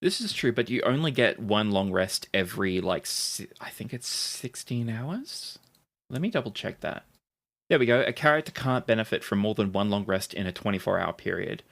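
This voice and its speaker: A game master narrating a tabletop roleplaying game in short, dramatic, unhurried storytelling phrases. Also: mid-range voice, lively intonation, gravelly, a bit bright.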